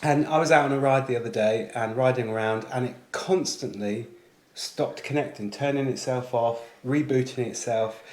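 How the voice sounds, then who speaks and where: A man's voice: medium pitch, normal speed. A man presenting a casual product unboxing, chatting informally with British slang. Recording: echoey room, faint background noise.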